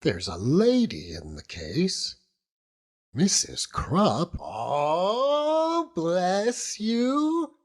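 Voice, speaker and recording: Man as a gushing, high-voiced nosy housekeeper. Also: huge pitch swings, extremely slow, exaggerated voice. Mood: knowing, encouraging. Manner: gushing, folksy uneducated speech. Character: nosy, motherly middle-aged housekeeper woman, familiar and knowing. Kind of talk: dramatised audiobook character reading.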